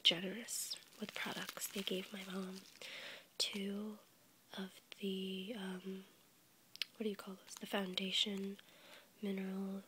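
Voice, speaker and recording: A young woman with a cold, whispering softly as she rambles for an ASMR video, stuffy and a little congested. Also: medium pitch, monotone, slow, a little hiss or hum, rough recording. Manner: soft unvoiced whisper, rambling with fillers and small laughs. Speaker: ASMR YouTuber. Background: crinkling packaging.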